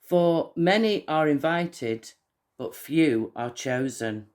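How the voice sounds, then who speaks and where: A man's voice: on the high side, animated, takes it slowly, a little mellow. A man reading a Bible passage aloud as a member of a church.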